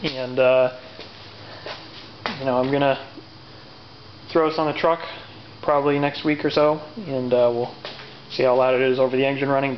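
A man with an average-pitched voice casually walks viewers through a DIY job step by step. He is matter-of-fact and pauses to find his words. The audio is dull camera-mic sound from a garage, with some light background noise. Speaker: DIY tutorial presenter. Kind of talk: casual unscripted how-to explanation. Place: garage or workshop with machinery. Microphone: handheld camera's built-in mic.

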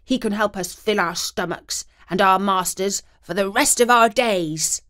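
A woman voicing a scruffy, clever male mutt dog in a storybook reading, speaking loudly and eagerly. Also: medium pitch, a little slow, natural voice.

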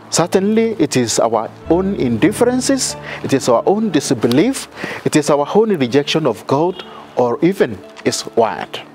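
A middle-aged man, a priest giving a measured sermon outdoors with many fillers and restarts. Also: a little high, darkish tone. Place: outdoor garden altar.

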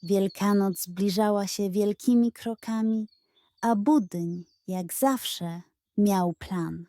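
Unhurried, mellow woman telling bedtime stories. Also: mid-range voice, no room sound. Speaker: storyteller. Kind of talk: children's bedtime story narration.

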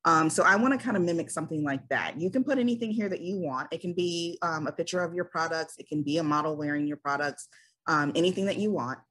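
A woman's voice: low-pitched, fast, fairly bright tone. Chatty woman, tired scratchy voice. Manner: chatty, many ums. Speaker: business coach. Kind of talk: casual screen-share tutorial.

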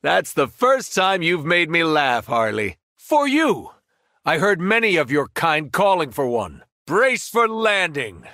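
A man's voice: on the high side, big pitch swings, unhurried, sharp tone, dry recording, clean studio sound, full character voice. An actor playing a menacing, arrogant alien superhero turned villain in a video game, taunting his foes with cold mockery and grandiose threats. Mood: mocking, then gleeful taunting.

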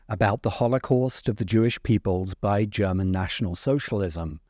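A man in his forties narrating a scripted video essay in a deliberate, emphatic way, close to the microphone. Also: on the low side, a little mellow, no echo. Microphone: close desk microphone. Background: music under the voice.

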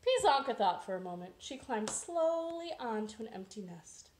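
Young female storytime host, expressive and playful, highly varied pitch, recorded at home with some hiss. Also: normal speed. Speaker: children's librarian storytime host. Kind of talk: children's storytime read-aloud. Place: living room at home. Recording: roomy echo, some background hiss.

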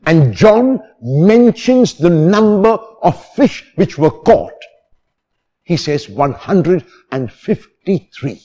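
A man in his sixties, a priest, preaching a homily in a deliberate, emphatic manner with phrases repeated for stress. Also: sits high, a little mellow. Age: 60s. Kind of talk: homily, expository sermon. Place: church, at the lectern.

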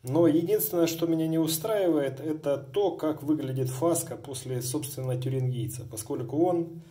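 A man's voice: fairly high pitch, normal speed, mellow. A middle-aged man demonstrating a honed razor, talking in a wordy, formal way with many hedges and asides. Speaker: razor sharpener. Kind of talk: hands-on demonstration. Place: indoors at a workbench.

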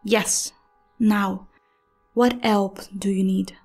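A woman playing a serene, kindly nature goddess in a fantasy audio drama, gracious and unhurried. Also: mid-range voice, steady tone, a little mellow, faint music behind.

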